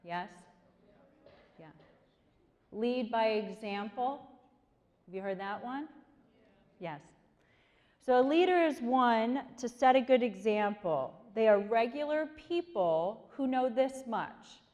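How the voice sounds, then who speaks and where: A woman's voice: fairly high pitch, lively intonation, slow, long pauses, a little mellow, highs cut off. Chatty woman speaking in a hall. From Korea. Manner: chatty, asks the audience questions. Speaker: guest speaker. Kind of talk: motivational talk to students. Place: large hall. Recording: long hall reverb.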